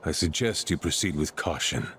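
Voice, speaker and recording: An actor playing a brooding, cryptic keeper of a powerful card in a video game, speaking in a formal, measured, ominous way. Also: male voice, rumbling low, expressive, a bit bright, a little hiss or hum. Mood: grave, cryptic. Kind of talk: video game cutscene acting.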